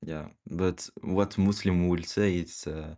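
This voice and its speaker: Man, Moroccan accent, low-pitched, hesitant debate caller. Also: steady tone, dark tone. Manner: hesitant, halting, many fillers. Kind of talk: live online debate.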